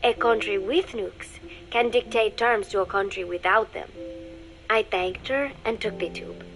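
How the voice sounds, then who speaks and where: A young female secret agent narrating her diary for a drama. She is guarded and confiding, measured in delivery, with a creaky edge to a mid-pitched voice. Music plays behind her. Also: a bit bright. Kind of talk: first-person diary narration, scripted drama.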